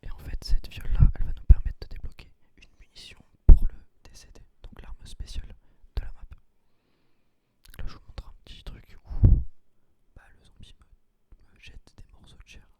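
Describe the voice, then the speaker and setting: Whispering man, ASMR gameplay commentary. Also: normal speed. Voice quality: breathy whisper. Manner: casual, explanatory. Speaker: gaming video creator.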